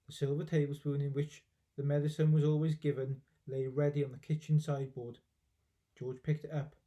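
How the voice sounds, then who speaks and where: A man's voice: a little high, level tone, fairly quick, mellow. A man reading a children's storybook aloud expressively, with dramatic emphasis, like a teacher.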